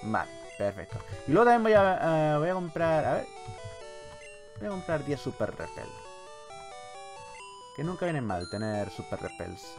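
Male gamer-host, Spain Spanish accent, slightly high voice, very lively pitch, colloquial and expressive, loose with swearing. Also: normal speed, long pauses, music in the background. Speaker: gaming YouTuber, host. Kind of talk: casual let's-play commentary.

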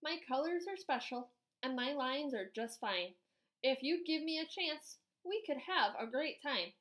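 A woman's voice: sits high, expressive, unhurried, a bit bright. A woman voicing a cheerful, earnest young scribble drawing in a storybook, eager and pleading to play. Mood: indignant, hopeful.

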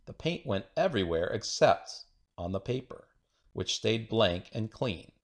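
A man reads a picture book aloud to children. His voice sits on the low side and swings widely in pitch, with an expressive storyteller's delivery. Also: unhurried. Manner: expressive storytelling with acted dialogue. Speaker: storybook reader.

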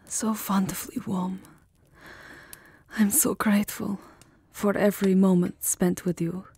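A woman playing a tender, lovesick vampire countess in an intimate ASMR roleplay, speaking softly and often dropping into a whisper, with formal, wistful endearments. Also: fairly low pitch, husky, no room sound, exaggerated voice. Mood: grateful, melancholy. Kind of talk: ASMR roleplay, soft intimate romantic acting. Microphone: close microphone, dry. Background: music.

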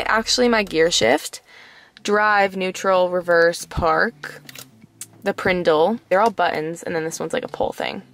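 A woman's voice: fairly low pitch, fairly bright tone. A young woman giving a casual, bubbly tour of her car, filming with a handheld phone while sitting in the parked car. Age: young adult. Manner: chatty, bubbly, giggly. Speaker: vlogger. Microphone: handheld phone camera.

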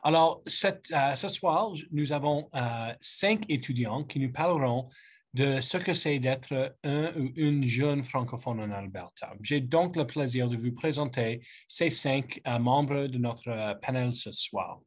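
A man in his thirties whose first language is English, with an English-speaking Canadian accent, giving a formal welcome speech as a politician hosting a youth panel. He talks haltingly, often pausing to search for words in his second language. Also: medium pitch. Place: office with lamp and bookshelf, indoors. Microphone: webcam on a video call.

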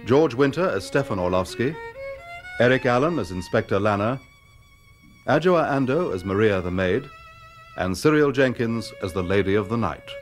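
A man's voice: on the low side, animated, normal speed. British male announcer over violin music. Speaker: radio announcer. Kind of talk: scripted closing credits. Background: solo violin music.

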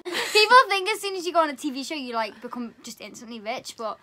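A young woman chatting loudly and at length with friends in a small bedroom, close to the camera. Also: high voice, big pitch swings, quick pace, a little hiss or hum. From Merseyside, England. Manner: loud, chatty, talks a lot. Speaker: vlogger, dancer. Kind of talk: casual group chat. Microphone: camera close in front.

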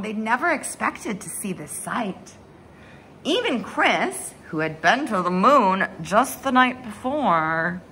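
Animated young woman reading a storybook. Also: a little high, a little hiss or hum. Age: young adult. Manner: dramatic, with drawn-out words and hushed asides. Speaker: story time host reading a picture book aloud. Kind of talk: expressive children's storybook read-aloud. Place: small bright room indoors at home.

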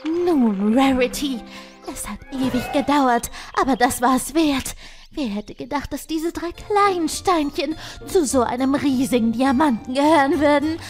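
A woman's voice: high-pitched, a little slow, soft background music. A woman playing a vain, covetous unicorn pony in a fan audio drama, dramatic and affected. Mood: satisfied.

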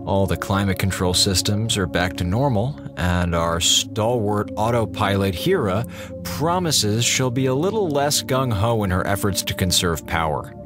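An actor playing a sarcastic, theatrical slacker communications officer on a space station in a radio drama. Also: male voice, lowish voice, animated, normal speed, music in the background, natural voice. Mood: wry, sarcastic. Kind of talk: radio drama monologue as a log.

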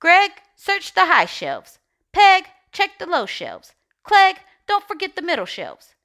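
A woman plays an anxious, bossy egg from a storybook. The voice is high and bright, with big dramatic swings as it frets and gives orders. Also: wildly swooping pitch, slow pace, heavily acted. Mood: bossy, urgent. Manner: dramatic, exclaiming, fretful. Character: Shell, anxious bossy egg rallying missing friends. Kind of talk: storybook character read-aloud.

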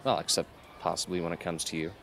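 A man playing an earnest, gently teasing teenage boy in an amateur radio drama. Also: sits low, level tone, fast, creaky, slight background noise.

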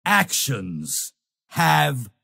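A domineering crusader knight for a video game, proud and devout to the point of zealotry. He declaims slowly and solemnly in a gravelly voice. His pitch swings dramatically as he pronounces his imperious judgments. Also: huge pitch swings, pushed and bright, exaggerated voice. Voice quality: raspy, gravelly. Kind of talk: video game character voice lines.

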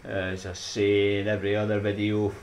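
A deep-voiced Scottish man in his thirties talks into a desk mic in a small room at home. He rambles in a loose, upbeat way, laughs at his own jokes and slips in motivational sayings. A faint hiss sits under the voice. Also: completely flat delivery, a little fast, a little mellow. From Scotland. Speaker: YouTuber. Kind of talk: casual chatty vlog outro.

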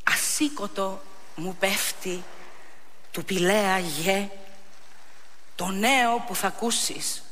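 A woman's voice: mid-range voice, long pauses, a bit bright, lightly acted. A young messenger of ancient epic speaks in grief, carrying terrible news, as a woman acts him out. The voice is theatrical, with long hall reverb and slight background noise. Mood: grieving. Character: Antilochus, grieving young male messenger bearing dreadful news. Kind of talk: dramatic epic recitation on stage.

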